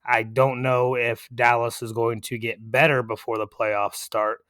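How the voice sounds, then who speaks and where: A man co-hosting a casual sports podcast, giving agreeable, measured, plainspoken answers. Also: mid-range voice, level tone, fairly bright tone, clear, resonant tone, no echo, studio quality. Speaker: podcast co-host.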